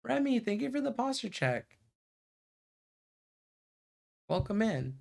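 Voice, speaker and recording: A man's voice: sits high, big pitch swings, normal speed, creaky. A man livestreaming as he reads a visual novel aloud in a narrating style. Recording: music behind the voice. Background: background music.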